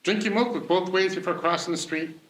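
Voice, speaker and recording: A man's voice: high-pitched, steady tone, brisk, fairly bright tone, reverberant, a little hiss or hum, poor audio. A man hosting the show, giving a jokey, folksy closing sign-off. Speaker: show host.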